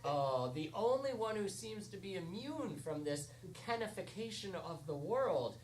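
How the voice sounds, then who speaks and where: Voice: medium pitch, sing-song, measured pace. An improv performer narrating a parody audio drama live on stage in a playful, theatrical manner. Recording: noisy live stage recording.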